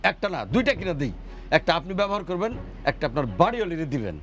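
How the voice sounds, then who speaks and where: A man's voice: high-pitched, very animated, rapid-fire, a bit bright. A man acting a newly rich, contemptuous father-in-law in a family drama, with a Bangladeshi accent. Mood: scornful. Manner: mocking, contemptuous, needling.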